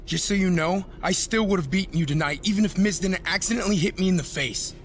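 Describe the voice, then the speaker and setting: Petulant male wrestling sidekick played by an actor, high voice, fast, game cutscene. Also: slight background noise, close to an everyday voice. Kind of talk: video game cutscene acting.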